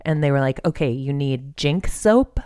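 A woman's voice: a bass voice, animated, moderate pace, warm tone, no room sound, clean studio sound. An American woman co-hosting a podcast, chatting and telling stories in an informal, jokey way. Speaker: podcast co-host. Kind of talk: casual chat and storytelling.

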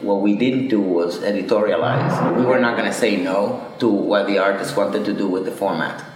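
A man's voice: fairly low pitch, moderate pace, very echoey, rough recording. A man from Puerto Rico, a curator, who talks about an art show in an academic, thoughtful way and repeats words as he thinks. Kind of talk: interview conversation.

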